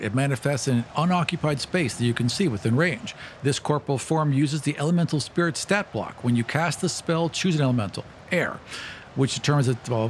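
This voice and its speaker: A man's voice: on the high side, a little hiss or hum. A man talking casually as a player in a tabletop role-playing game.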